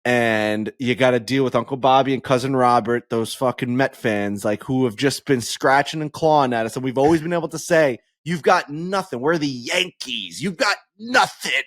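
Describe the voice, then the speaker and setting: A man's voice: mid-range voice, normal speed, hardly any pauses, dry recording. Ranting man on a dry studio mic. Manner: animated, ranting, swears freely. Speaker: podcast co-host. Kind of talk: casual sports chat.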